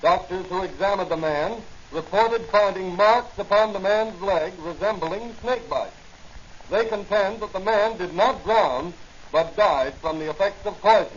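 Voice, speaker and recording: An actor playing a formal, urgent radio newsreader delivering a 1940s-style news bulletin. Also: male voice, high voice, unhurried. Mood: grave, formal. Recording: muffled, noisy old 1940 radio recording.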